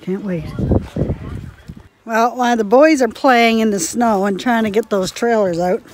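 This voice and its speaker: A woman's voice: a little high, a little slow. A woman in her forties chatting casually while filming her homestead on a handheld phone, rambling and giggling often. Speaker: homestead vlogger. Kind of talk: casual handheld vlog chat. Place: outdoors in a windy snowy yard. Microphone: phone camera held at arm's length. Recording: noisy outdoor phone audio with wind.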